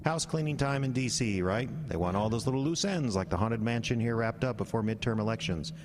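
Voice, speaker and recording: An actor playing a smug, glib government bureaucrat with a sardonic, officious manner in a radio drama. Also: male voice, mid-range voice, fairly quick, noisy background, lightly acted. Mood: smug, needling.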